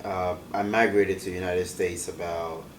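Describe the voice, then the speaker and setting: A young man from Ghana, an artist, answering interview questions in a soft-spoken, hesitant way with many ums. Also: low voice, level tone, normal speed. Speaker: guest artist. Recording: echoey room, distant mic, hiss.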